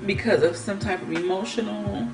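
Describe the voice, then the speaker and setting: A woman radio host asks questions in an attentive, conversational way, often backing up her guest with short affirmations. Her voice sits at a middle pitch, with music clearly audible behind her. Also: moderate pace. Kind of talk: radio interview. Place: radio studio.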